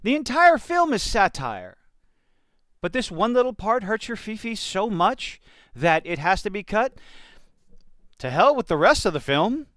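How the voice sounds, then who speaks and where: A man's voice: high voice, big pitch swings, measured pace, pauses often, fairly bright tone, dry recording, studio quality. A man giving opinionated commentary in a blunt, sardonic and emphatic manner. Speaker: commentator reacting to entertainment news. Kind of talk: opinionated commentary with quotes read out.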